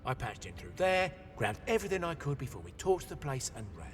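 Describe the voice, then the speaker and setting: A man's voice: mid-range voice, brisk, lightly acted. Actor as touchy indignant tech guy in a game, swoops around a lot, big reverb, argues back. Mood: defensive. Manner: excitable, argumentative. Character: indignant, defensive male tech operative. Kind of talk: dramatic video game acting. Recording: long reverb, music underneath.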